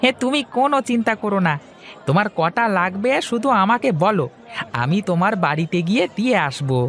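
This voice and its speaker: A man's voice: high-pitched, expressive, brisk, fairly bright tone, voice pushed up. A man voicing a friendly, persuasive village fish seller in a children's cartoon, with sing-song, coaxing patter. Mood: eager, persuasive. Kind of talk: Bengali children's cartoon dubbing.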